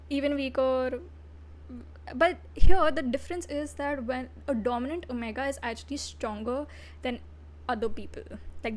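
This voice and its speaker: A woman VTuber giving a casual livestream review, blunt and profane, breaking into giggly asides. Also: fairly high pitch.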